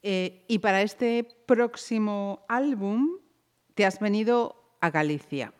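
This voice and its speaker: A woman interviewer asking a musician about his career in a friendly, measured way. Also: medium pitch, lively intonation. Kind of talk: radio-style interview.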